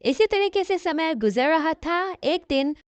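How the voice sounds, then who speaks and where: A woman's voice: extremely high pitch, expressive, measured pace, dry recording. A woman narrating a scripted cartoon story as the storyteller. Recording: dull, lo-fi audio.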